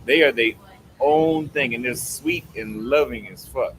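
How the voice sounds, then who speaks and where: A man's voice: medium pitch, measured pace, fairly bright tone, low-quality recording. Laid-back guy chatting on a stream outside, swears a lot, jokey, faint noise behind. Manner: laid-back, profane, joking. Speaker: livestream host. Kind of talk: casual livestream chat.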